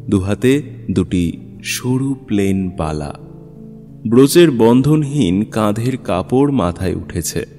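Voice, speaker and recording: A man narrating a literary story in a measured, expressive, dramatic style. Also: lowish voice. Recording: long studio reverb, music underneath. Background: music.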